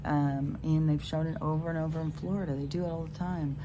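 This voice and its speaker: A woman's voice: deep voice, level tone, brisk. A middle-aged woman with a stuffed-up nose rambling casually outdoors, full of fillers and restarts. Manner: meandering, many fillers and restarts. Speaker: spiritual vlogger. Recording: noisy outdoor phone recording.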